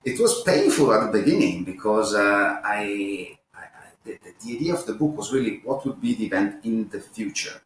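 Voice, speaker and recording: A man's voice: on the low side, animated, moderate pace, echoey room, a little hiss or hum, rough recording. A man with an Italian accent, an author answering interview questions hesitantly, with many fillers and restarts. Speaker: author, guest.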